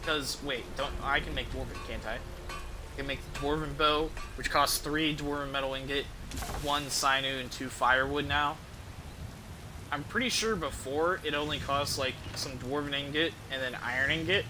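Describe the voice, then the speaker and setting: A man's voice: mid-range voice, normal speed, background noise. A man casually commentating on his video game as a streamer, rambling and thinking aloud with offhand swearing.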